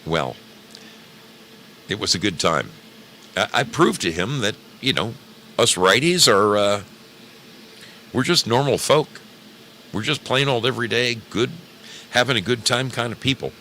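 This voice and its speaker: A man's voice: fairly low pitch, animated, measured pace, long pauses, bright, forceful tone, a little hiss or hum. A man hosting an online radio program in a rambling, casual monologue, joking and theatrical, repeating phrases for emphasis. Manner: jokey, theatrical, emphatic repetition. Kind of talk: rambling casual monologue to live stream audience.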